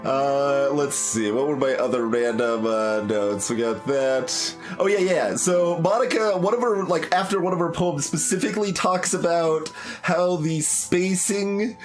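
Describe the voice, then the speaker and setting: A man's voice: on the high side, measured pace, faint music behind. A man streaming a game playthrough, talking casually to viewers in a hesitant, rambling way as he thinks aloud.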